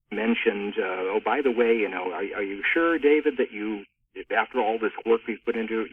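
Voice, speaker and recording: A man's voice: on the low side, breathy edge, no echo, recorded from a distance. A man speaking as a guest author in a panel discussion about books. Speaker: author being interviewed. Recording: thin phone-like band.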